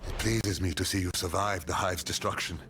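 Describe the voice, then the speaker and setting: An actor playing a battle-hardened, dutiful military commander in a video game, speaking in a gravelly, grave, formal and commanding way. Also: male voice, low voice, a little fast, bright, forceful tone. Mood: grave, resolute. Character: battle-hardened male army commander, stern, dutiful, urgent.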